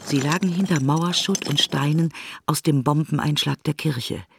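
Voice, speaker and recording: A man narrating a literary radio play in measured, short declarative sentences. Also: on the high side, vocal fry, background noise. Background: music.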